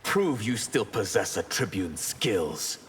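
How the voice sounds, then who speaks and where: An actor playing a proud, composed water mage in a video game, dignified and earnest. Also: male voice, fairly low pitch, very animated, slow pace, a bit bright, exaggerated voice. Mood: guarded. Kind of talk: video game character dialogue. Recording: long reverb, clear noise behind.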